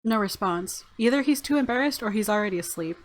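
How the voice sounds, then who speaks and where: A woman hosting a let's play, reading story narration and reacting casually in a playful, expressive way. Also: medium pitch, quick pace. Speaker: gaming video host.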